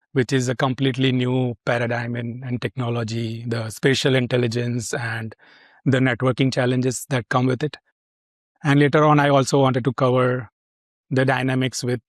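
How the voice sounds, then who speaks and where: Even-toned male interviewer, flat delivery. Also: medium pitch, no echo, studio quality. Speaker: interviewer, host. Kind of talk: prepared interview questions.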